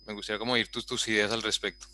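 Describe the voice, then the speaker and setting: A man with a Bogotá accent asking a question on a video call, halting and searching for words. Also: lowish voice, level tone, sharp tone, slight background noise. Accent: Colombian (Bogotá). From Bogotá, Colombia. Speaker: audience member asking a question.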